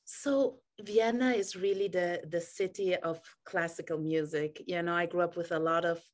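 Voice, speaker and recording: A woman's voice: fairly low pitch, steady tone, normal speed. A woman raised in Austria whose first language is German, chatting as a podcast guest about her life, laughing often. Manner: warm storytelling with frequent laughs. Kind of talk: casual interview chat.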